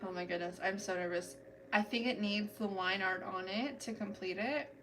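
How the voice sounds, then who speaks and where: A woman's voice: medium pitch, moderate pace, a bit bright, far from the mic, slight background noise. A woman hosting a casual livestream, chatting with viewers while she paints her nails and talks through each step. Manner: chatty, self-narrating, talks to chat while working. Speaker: livestream host doing nail art. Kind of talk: casual chat with live viewers. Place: home, doing a manicure.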